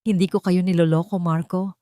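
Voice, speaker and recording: A woman's voice: lowish voice, level tone, a little fast, mellow, dry recording, natural voice. A betrayed old mother played by a woman narrator in an audio drama. She sounds wounded yet defiant, in a dry, close recording. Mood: tearful, defiant. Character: elderly betrayed mother, tearful but defiant.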